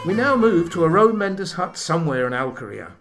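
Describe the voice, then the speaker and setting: A man's voice: fairly high pitch, big pitch swings, a little fast, over music. A man narrating a comic radio play from a script in a mock-solemn manner.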